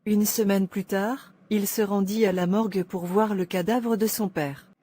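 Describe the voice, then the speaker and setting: A woman's voice: medium pitch, steady tone, unhurried, mellow, slightly rough. A woman delivering scripted narration of a moral tale as the storyteller.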